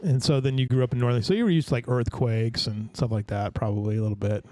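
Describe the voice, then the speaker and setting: A man hosting a local interview show, talking in a rambling, halting way with many restarts. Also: medium pitch, dark tone, no echo. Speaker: host. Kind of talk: casual interview.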